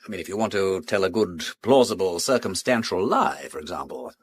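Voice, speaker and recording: A man playing a witty, urbane gentleman detective in a British radio drama, teasing and breezy, with an upper-class English accent. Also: low-pitched, animated, a little fast, husky, no room sound. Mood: teasing, probing. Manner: teasing, breezy, with drawled asides.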